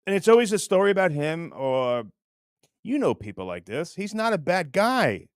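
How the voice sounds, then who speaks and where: A man's voice: high voice, big pitch swings, moderate pace, no echo. Guy hosting a livestream, voice all over the place, rambly and self-mocking, dry close sound. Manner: rambling, self-deprecating, breaks into laughter. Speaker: livestream host. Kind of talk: casual chat reading viewer comments.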